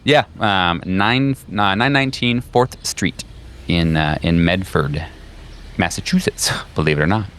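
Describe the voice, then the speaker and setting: A man's voice: sits low, unhurried, a bit bright, a little hiss or hum. A man improvising narration as game master, in a casual, conversational way with some swearing.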